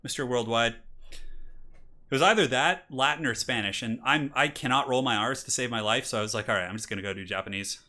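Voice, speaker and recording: Bright-voiced chatty male streamer. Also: mid-range voice, fairly quick. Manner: chatty, reads donations aloud, laughs often; rises to hyped casting for match intros. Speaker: streamer and tournament caster. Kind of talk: casual live-stream chat and game commentary.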